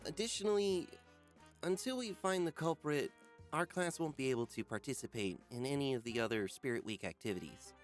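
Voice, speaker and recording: A man playing an earnest, ponderous schoolteacher who speaks with measured, dramatic pauses in a visual novel game. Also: mid-range voice, soft background music, natural voice. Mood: grave. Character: earnest, ponderous male schoolteacher addressing his class.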